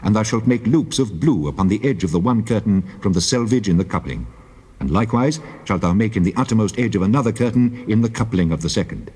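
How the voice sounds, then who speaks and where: A man playing God as a solemn, commanding deity, giving measured, declamatory instructions in a dramatized scripture reading. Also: lowish voice, expressive, darkish tone, slightly rough. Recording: long cavernous reverb.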